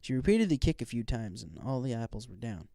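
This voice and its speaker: A man's voice: mid-range voice, sing-song, fairly quick, vocal fry, warm tone, no room sound. A man reading a scripted story aloud as an audiobook narrator.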